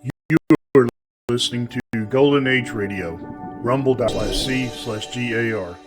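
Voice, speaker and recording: A man's voice: medium pitch, a little slow. A man reading a scripted station ident as an announcer. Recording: loud music under the voice.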